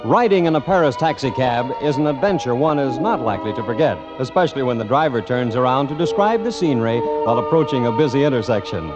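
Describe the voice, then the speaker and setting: Classic radio announcer man, lively intonation. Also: mid-range voice, fast, hardly any pauses. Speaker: radio announcer and program host. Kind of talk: vintage radio announcing, scripted ad and song introduction. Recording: dull old radio broadcast, faint music.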